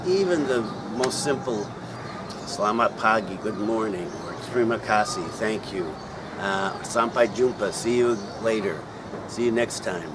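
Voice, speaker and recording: Hesitant man talking over loud traffic. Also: medium pitch. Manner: hesitant, many ums and uhs. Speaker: vlogger answering viewer questions. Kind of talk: casual explanatory talk. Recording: loud outdoor traffic noise.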